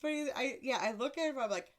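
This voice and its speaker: A woman in her thirties with a deeper voice, hosting a casual solo podcast in a blunt, crude, self-mocking way and laughing often. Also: animated, fast. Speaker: podcast host.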